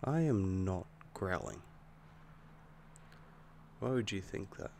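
A man acting out an affectionate young werewolf boyfriend with a short temper, made for intimate roleplay audio. His voice sits very low and crackles with fry. He talks slowly and haltingly, protective of his partner, over audible background noise. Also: animated, darkish tone, heavily acted. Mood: irritated, jealous.

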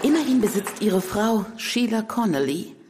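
An actress playing a smooth, sceptical female TV talk show host in an audio drama, probing with polished irony. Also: mid-range voice, lively intonation, normal speed, a crowd in the background, noisy background, natural voice. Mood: mocking, cutting. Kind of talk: audio drama acting as a TV interviewer.

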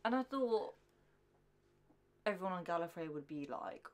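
Lowish-voiced young woman chatting. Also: lively intonation, moderate pace. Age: 20s or 30s. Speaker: reaction show co-host. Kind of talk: casual chat. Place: small furnished room at home. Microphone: desk microphone in front.

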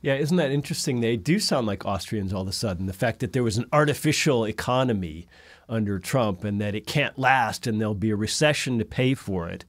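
A middle-aged man hosting a discussion over a video call from an indoor office. He speaks in a measured way and asks long, carefully framed questions. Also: lowish voice, animated, no echo. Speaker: host. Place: indoor office in front of a backdrop.

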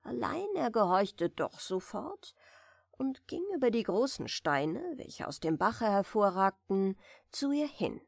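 A woman reading a fairy tale aloud as a bedtime audiobook, softly and soothingly, in a deliberately gentle manner. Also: medium pitch, very animated, creaky, dry recording, studio quality. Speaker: audiobook reader.

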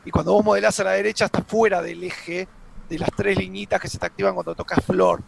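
Gravelly high-voiced Argentine man. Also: animated, fast, background noise. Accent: Argentine Spanish. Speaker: co-host reading chat. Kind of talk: casual live-stream chat and tech answers.

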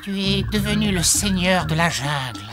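A man voicing a servile, flattering hyena henchman in an animated cartoon. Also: sits high, moderate pace. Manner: obsequious, flattering. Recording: loud music behind.

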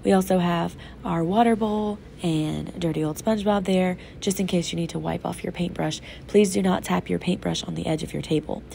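Woman art teacher, stuffy and hoarse from a cold, low dark voice, gentle step-by-step instruction. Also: steady tone, normal speed, hardly any pauses, a little hiss or hum. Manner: patient, instructive, talks while working. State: sick, congested and sore-throated. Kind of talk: step-by-step art demonstration for young kids. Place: classroom table. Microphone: off-camera voice over an overhead tabletop camera.

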